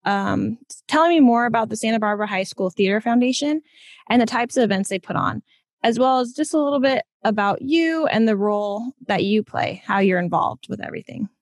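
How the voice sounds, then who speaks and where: A woman's voice: fairly high pitch, lively intonation, brisk, no echo, studio quality. A woman hosting a podcast, introducing and interviewing a guest in a presenter's style. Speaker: podcast host.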